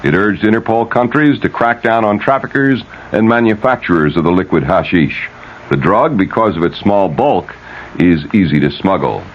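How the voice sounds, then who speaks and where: A man's voice: fairly low pitch, animated, normal speed. An American man anchoring a 1970s radio news bulletin, reading scripted stories. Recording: dull, lightly noisy vintage radio broadcast.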